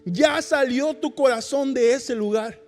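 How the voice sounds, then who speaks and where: Male pastor, high-pitched, fervent and emphatic in sermon and prayer. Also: unhurried, long reverb tail, faint music behind. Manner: fervent, emphatic preaching with repeated calls of "Señor". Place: church service.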